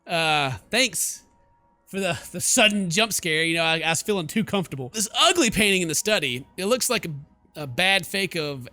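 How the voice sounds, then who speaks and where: A man streaming a game, giving animated, jokey commentary and reading the story narration aloud. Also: high voice, normal speed, no echo, soft background music. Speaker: gaming streamer.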